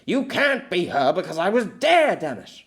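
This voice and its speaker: Guy doing a bitter middle aged exile in an audio story, stammery, sweary, desperate, pitch all over the place. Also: sing-song, moderate pace, fairly bright tone, full character voice. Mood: angry, anguished. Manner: stammering, swearing, dramatic outbursts.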